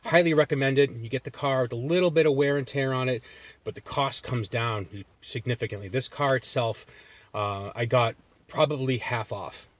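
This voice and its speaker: Casual man with a Boston accent, mid-pitched voice, talking from a parked car in a loose, rambling vlog style. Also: normal speed, dry recording. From Massachusetts. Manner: rambling, loose, with many fillers and restarts. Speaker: jerky reviewer.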